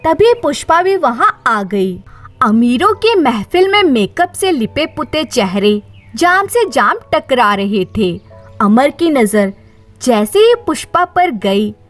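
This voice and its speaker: A woman narrating a scripted story in a dramatic storytelling style. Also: high-pitched.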